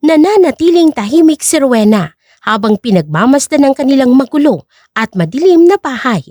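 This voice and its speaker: A woman's voice: high voice, darkish tone, no room sound, clean studio sound. A woman narrator reading a scripted story in a dramatic storytelling style.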